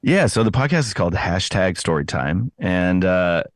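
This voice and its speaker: A man giving casual, conversational interview answers, often pausing and correcting himself as he finds his words. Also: sits low, sing-song, no room sound. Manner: hesitant phrasing, self-correcting, chatty. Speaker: podcast host appearing as interview guest.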